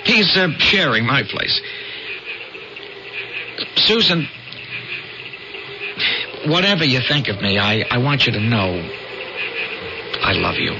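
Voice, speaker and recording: An American actor playing an eager, stubborn small-town newspaperman in an old radio drama, with an excitable, stammering delivery. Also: medium pitch, slow, long pauses. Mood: teasing, earnest. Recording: muffled, noisy old radio recording.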